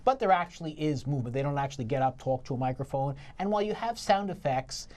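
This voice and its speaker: A man's voice: on the high side, lively intonation, fast, darkish tone. A man co-hosting as a theatre critic, talking conversationally with hesitant phrasing and mild criticism. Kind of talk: conversational review banter.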